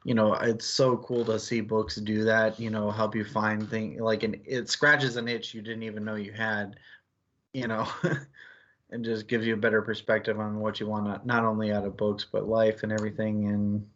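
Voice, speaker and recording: A man's voice: lowish voice, flat delivery, normal speed, a bit bright, dull audio. A man co-hosting a casual book discussion, talking in a rambling, conversational way peppered with filler phrases. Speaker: book club show co-host.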